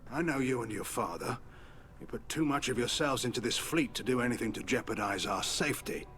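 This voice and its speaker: An actor playing a blunt, gruff but loyal alien military admiral in a video game. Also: male voice, medium pitch, brisk, a bit bright, close to an everyday voice. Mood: blunt, supportive. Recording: clear background noise.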